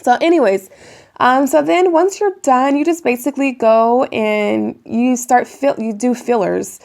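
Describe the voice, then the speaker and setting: A young woman with a raspy voice from a cold, chatting casually to viewers while demonstrating a hair tutorial at home. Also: a little high, measured pace, a little mellow. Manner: chatty, addresses viewers as you guys, many ums. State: raspy voice getting over a cold. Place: bedroom at home, indoors.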